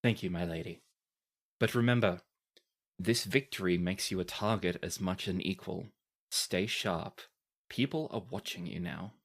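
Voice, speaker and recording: A stern female high executor in a fantasy tabletop game, acted out by the game master. She is formal and terse, cautioning like a seasoned officer. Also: measured pace.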